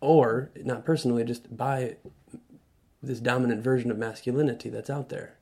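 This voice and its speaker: Man, radio studio guest, earnest, halting, restarts often. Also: mid-range voice, fairly even intonation. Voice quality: loud voice. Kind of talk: reflective conversational talk.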